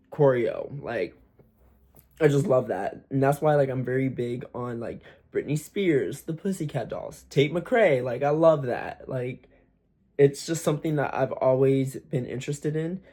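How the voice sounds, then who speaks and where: A man's voice: medium pitch, unhurried, dark tone. Young man, casual vlog chat. Slightly forced raised voice, candid, loose with curse words. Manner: chatty, candid, swears freely, many fillers. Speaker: aspiring singer vlogger. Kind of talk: casual talk to camera.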